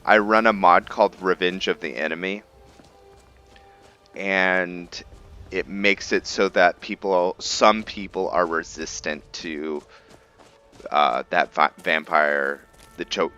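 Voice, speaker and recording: A man giving casual let's-play commentary on a video game, thinking aloud in a halting way and trailing off mid-phrase. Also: sits low, pushed and bright, muffled recording. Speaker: gaming host narrating a playthrough. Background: game music behind the voice.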